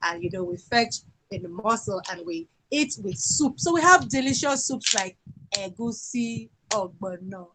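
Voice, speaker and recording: A Nigerian woman with a Yoruba accent, presenting her country's culture and songs in an unhurried talk full of fillers. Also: a little high, lively intonation, background noise. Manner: sings folk songs, speaks slowly and warmly with fillers.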